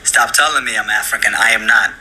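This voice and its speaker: A man's voice: fairly low pitch, quick pace, sharp tone. A man from Somalia in a short clip, speaking insistently and emphatically.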